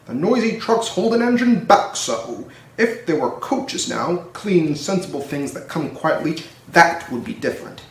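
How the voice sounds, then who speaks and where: A man playing a big, proud, pompous steam engine from a children's storybook, with drawn-out complaining delivery. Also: a little high, big pitch swings. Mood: grumbling. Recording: roomy echo, distant microphone.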